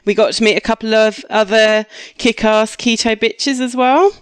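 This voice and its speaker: Enthusiastic woman with a smooth voice. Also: a little high, steady tone, moderate pace, no echo. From England. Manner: enthusiastic, laughing often. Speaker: guest GP. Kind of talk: casual interview chat.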